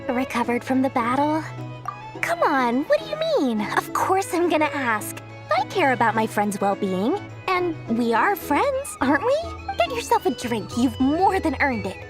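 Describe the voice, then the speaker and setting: A woman's voice: sits high, sing-song, moderate pace, music in the background. A woman voicing a small, brash, money-minded merchant girl in an anime-style video game, self-important and theatrical. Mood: breezy, generous.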